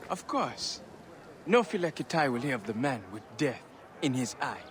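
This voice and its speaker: An actor playing an eager, pushy male street hustler in a video game, loud and fawning. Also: sing-song, normal speed, a little hiss or hum, lightly acted. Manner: loud, fawning sales pitch. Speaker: street hustler offering services. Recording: game audio with music beneath.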